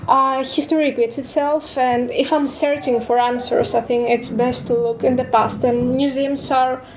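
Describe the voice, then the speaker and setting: A woman's voice: high voice, fairly even intonation, normal speed, noisy background. A teenage girl from Greece in a student podcast, speaking in an earnest, reflective way. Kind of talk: podcast discussion.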